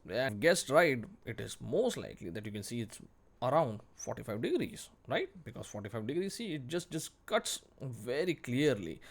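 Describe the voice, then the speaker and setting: Blunt, emphatic man teaching a tutorial. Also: medium pitch. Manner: blunt, emphatic, repeats phrases for stress. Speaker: 3D modelling teacher. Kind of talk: informal screen-recorded tutorial commentary.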